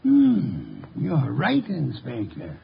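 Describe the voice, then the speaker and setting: An actor playing a brilliant, imperious detective in 1950s radio drama style, ponderous, precise and dryly sardonic. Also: male voice, mid-range voice, wildly swooping pitch, extremely slow, creaky, exaggerated voice. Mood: dry, evasive. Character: brilliant, eccentric, unflappable older male detective, imperious. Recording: dull, noisy 1950 radio recording.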